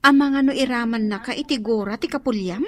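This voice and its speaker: A woman's voice: a little high, a little fast, lightly acted. A woman acting in an Ilocano radio drama as an inquisitive, concerned companion, questioning and exclaiming. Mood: curious, concerned. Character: inquisitive, concerned female companion asking about clans.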